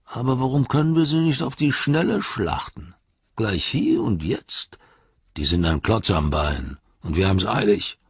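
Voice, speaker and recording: A man's voice: fairly low pitch, animated, husky, no room sound, lo-fi sound, voice pushed up, exaggerated voice. A man voicing an impatient, bloodthirsty male orc who pushes and complains in a hurry. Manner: pushy, hurried complaining.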